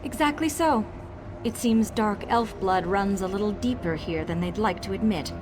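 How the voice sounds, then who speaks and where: A woman's voice: mid-range voice, moderate pace, lightly acted. Woman doing eager show-off girl mage from a video game, dramatic, kinda nervous, music behind her. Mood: earnest. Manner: dramatic, self-congratulating, hesitant when cornered. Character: boastful, eager young female mage tinkerer, nervous and evasive. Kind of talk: video game character dialogue.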